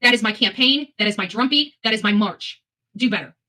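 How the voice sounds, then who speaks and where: A woman's voice: mid-range voice, a little fast, sharp tone. A woman hosting live opinion commentary, speaking bluntly and emphatically, repeating phrases for weight and swearing freely. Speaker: host of a live commentary show.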